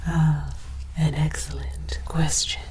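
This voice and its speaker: Slow, whispering woman playing alien scholar. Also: sits low, little pitch movement, background noise. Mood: mock-scholarly. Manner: deliberate, theatrical, mock-scholarly. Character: alien archaeologist researcher, scholarly and condescending about humans. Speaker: host of an ASMR series. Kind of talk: whispered ASMR scripted narration.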